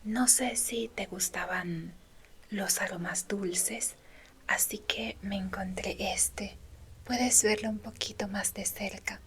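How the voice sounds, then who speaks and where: A tender female woodland creature, acted by a woman, whispers slowly and breathily in an ASMR roleplay. She is soothing and reassuring toward her human listener, with faint noise behind the close whisper. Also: mid-range voice, steady tone, lightly acted. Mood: softly showing gifts. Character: gentle female woodland fauna being comforting a human.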